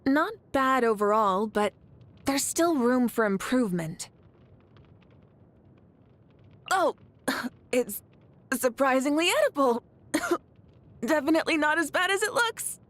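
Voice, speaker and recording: Mavuika, poised higher-voiced fire-warrior leader. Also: female voice, sing-song, unhurried, fairly bright tone, full character voice. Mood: pleased. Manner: poised, earnest, assured. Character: Mavuika, confident warm warrior archon of fire, woman leader. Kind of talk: video game character voice lines.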